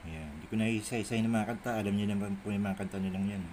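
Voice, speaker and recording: A man's voice: lowish voice, fast talker, darkish tone. A middle-aged Filipino man with a Manila Tagalog accent, rambling casually and muttering to himself as he shows his collection on a handheld phone. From Philippines. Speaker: cassette collector showing a collection. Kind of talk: casual show-and-tell chat, off the cuff. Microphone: phone held in hand while filming. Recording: noisy handheld phone camera audio.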